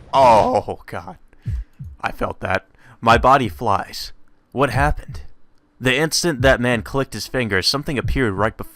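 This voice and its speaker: A man reading a visual novel aloud as a let's player, expressive and given to profane asides. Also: on the low side. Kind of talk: gaming commentary mixed with read-aloud narration. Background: game music.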